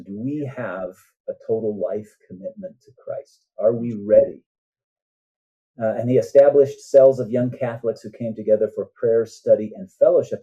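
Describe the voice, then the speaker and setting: A man speaking as a guest author in an interview, in an earnest, halting manner with deliberate pauses. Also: medium pitch, dark tone. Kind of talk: conversational interview answers. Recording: muffled, dull band-limited sound.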